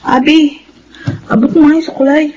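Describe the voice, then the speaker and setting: An actress playing a breezy, evasive young older sister in a Filipino radio drama, with a casual, teasing manner. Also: female voice, high voice, steady tone, moderate pace, lo-fi sound, over loud music, lightly acted.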